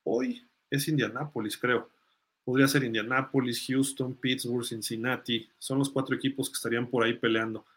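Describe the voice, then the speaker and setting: A man's voice: mid-range voice, fairly even intonation, moderate pace, fairly bright tone. Man casually talking sports on a podcast. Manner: conversational, thinking aloud, reading comments out. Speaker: host of a live sports fan podcast. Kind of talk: casual sports commentary, answering listener comments.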